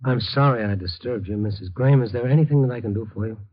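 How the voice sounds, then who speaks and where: An American actor playing a tough but kindly middle-aged reporter in 1950s radio noir, moving between terse narration and hesitant, gentle soothing. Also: male voice, mid-range voice, fast talker, lightly acted. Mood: uneasy, reassuring. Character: tough but kindly male night-beat reporter, troubled. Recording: muffled old radio recording.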